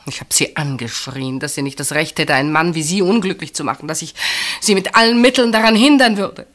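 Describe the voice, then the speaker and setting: Anguished, low-voiced woman in love. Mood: pleading, agitated. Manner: intense, dramatic, swinging from outcry to hushed halting speech. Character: anguished woman secretly in love with her sister's husband. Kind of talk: German radio play acting.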